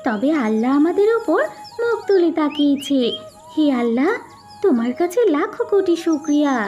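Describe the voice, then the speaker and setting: Lady playing a caring devout village mom in a cartoon, really high voice, faint music. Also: sing-song, normal speed, dark tone, dull audio. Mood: thankful. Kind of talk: cartoon character voice.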